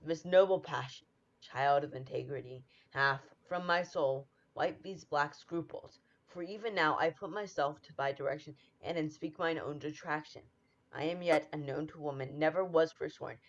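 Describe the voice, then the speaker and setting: A young adult student actor playing a noble, earnest exiled prince in a radio Shakespeare play, speaking in measured, declamatory verse. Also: medium pitch, close to an everyday voice. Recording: dull lo-fi audio.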